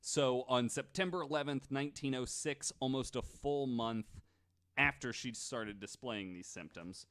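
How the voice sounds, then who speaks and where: A man with a voice of everyday pitch hosts a casual podcast. He relates a story from his notes in a loose, relaxed way. He pads it with fillers and restarts. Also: unhurried, smooth. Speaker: podcast host.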